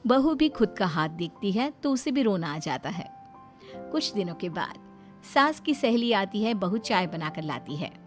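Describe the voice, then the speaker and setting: Animated woman narrating a story. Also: medium pitch, measured pace. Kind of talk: scripted dramatic storytelling. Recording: music under the voice.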